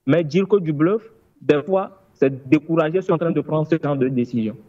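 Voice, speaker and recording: A man's voice: a little high, brisk, no echo, highs cut off. A man in his thirties calling in to a political talk show, speaking with insistent, emphatic repetition. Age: 30s. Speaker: caller. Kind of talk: impassioned political call-in.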